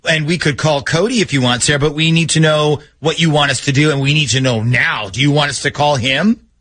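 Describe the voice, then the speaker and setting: A man's voice: on the high side, brisk, a bit bright. A man hosting a morning radio show, bantering his way through a prank phone call. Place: radio studio.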